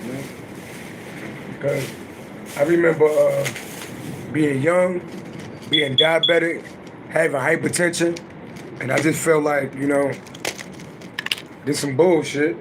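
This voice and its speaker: A middle-aged man talks loosely on his livestream, his raspy voice sitting fairly high and sounding a little worn from singing. He swears casually and answers his viewers as he goes. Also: fairly even intonation, takes it slowly, long pauses, background noise. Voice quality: deep voice. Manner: loose, profane, chatty, answers viewers' comments. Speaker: livestream host and astrology reader.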